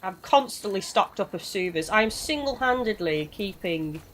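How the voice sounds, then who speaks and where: A woman's voice: mid-range voice, expressive, moderate pace, a little hiss or hum, poor audio. A young woman chatting through a live game stream, greeting viewers as she plays, her voice worn from losing it lately. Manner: chatty, rambling, chats with viewers. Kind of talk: live gameplay commentary.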